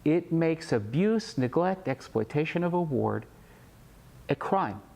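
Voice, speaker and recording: A man's voice: on the high side, expressive, a little slow, vocal fry, dark tone. A man interviewed for a news report, speaking as a reform advocate.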